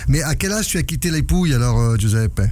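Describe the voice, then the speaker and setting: A man's voice: medium pitch, animated, quick pace, a little mellow. A man presenting casually on radio, often agreeing with short repeated confirmations. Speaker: radio host.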